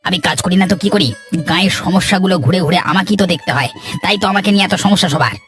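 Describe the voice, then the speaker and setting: A man's voice: sits high, very fast, fairly bright tone, faint music behind. A man playing an arrogant, jealous village troublemaker in a cartoon, brash, defensive and scornful. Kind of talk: Bengali moral-story cartoon voice acting.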